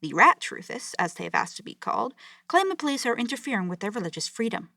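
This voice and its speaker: A woman's voice: on the high side, very animated, fast talker, creaky, sharp tone, no echo, clean studio sound, heavily acted. A woman playing a grave, formal news anchor delivering urgent bulletins in an audio drama. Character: grave female news anchor reporting a city's collapse.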